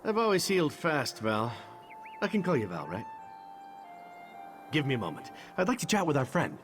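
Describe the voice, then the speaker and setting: An actor playing a charming, candid knight in a fantasy video game, wry and conversational, hesitant when confiding. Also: male voice, on the high side, huge pitch swings, normal speed, a bit bright, soft background music. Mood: easygoing, wry. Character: charming, candid male knight champion of a goddess. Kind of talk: video game character dialogue.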